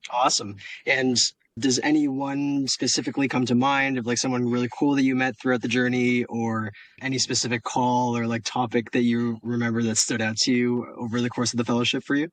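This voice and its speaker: A man's voice: medium pitch, little pitch movement, fairly quick, hardly any pauses, dry recording. Casual young man hosting, dry sound. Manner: friendly, casual, says "awesome" a lot and restarts words. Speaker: program founder and interviewer.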